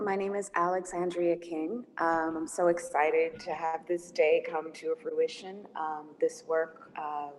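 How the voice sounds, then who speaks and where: A woman's voice: deep voice, darkish tone. A woman screenwriter giving informal introductory remarks, in a reverberant recording. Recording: echoey hall sound, faint noise.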